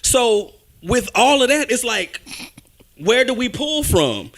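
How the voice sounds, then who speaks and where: High-voiced young man, emphatic and animated. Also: takes it slowly. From United States. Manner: animated, emphatic, talks over others. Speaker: podcast co-host. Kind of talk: casual debate chat.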